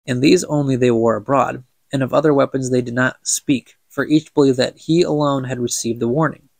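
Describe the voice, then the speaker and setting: A man's voice: medium pitch, steady tone, brisk, creaky, a little mellow, dry recording. A young man with a stuffy nose, reading a book aloud for a podcast and chatting about it, stumbling over words and laughing at his slips. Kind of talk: casual book reading with commentary.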